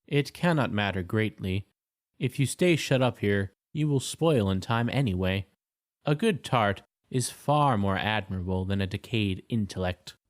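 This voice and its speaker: A man voicing a kindly, steady tin woodman in a storybook reading. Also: on the low side, measured pace, no room sound, studio quality, voice pushed down.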